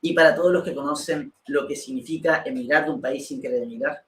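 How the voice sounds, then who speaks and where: Guy hosting a political talk show, kinda high voice, echoey room. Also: fast talker, a bit bright. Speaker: interview show host. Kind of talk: presenting a political interview show, reading prepared facts. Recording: roomy, echoey room sound.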